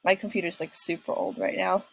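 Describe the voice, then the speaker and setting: A woman's voice: lowish voice, flat delivery, brisk, creaky, breathy edge, poor audio. A young woman hosting a casual reaction video, talking in a hesitant, rambling way.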